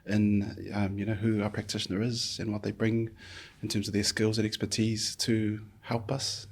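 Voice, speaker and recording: A middle-aged man from New Zealand, a physiotherapist guest speaking thoughtfully in an interview, with many pauses and restarts. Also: lowish voice, little pitch movement, vocal fry, slightly rough. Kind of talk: reflective conversational interview.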